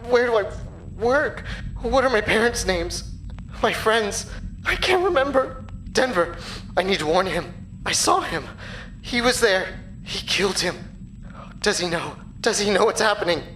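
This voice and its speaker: Terrified, disoriented, slow-speaking victim. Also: female voice, mid-range voice, expressive, a bit bright, a little hiss or hum, rough recording. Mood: distressed. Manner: halting, pleading. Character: terrified, disoriented woman trapped in darkness. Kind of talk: horror audio drama acting.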